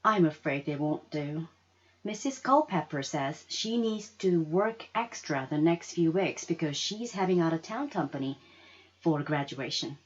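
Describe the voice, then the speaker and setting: A tired but tender storybook mother, voiced by a woman reader. She speaks gently and takes her time, reassuring as she goes. The recording is a little dull. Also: deep voice, expressive, a little slow. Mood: regretful.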